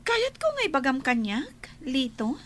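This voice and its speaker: A woman's voice: sits high, very animated, unhurried, a bit bright, heavily acted. A woman playing an insistent office boss in a radio drama, pressing for the truth. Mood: probing, tense.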